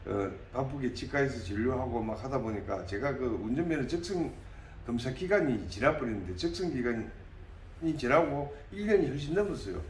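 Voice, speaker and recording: The recording is roomy and a little distant, with faint noise behind. A man in his late sixties speaks at a middling pitch. He answers like a candidate in an interview, earnest and deliberate, with halting phrasing. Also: lively intonation. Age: late 60s. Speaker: political candidate being interviewed.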